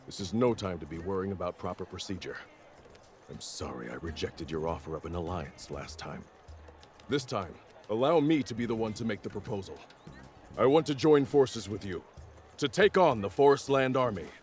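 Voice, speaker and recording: Weary, low-voiced army general played by a man. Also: expressive, a little mellow, natural voice. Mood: weary, resolute. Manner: formal, measured. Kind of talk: dramatic video game voice acting. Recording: music behind the voice.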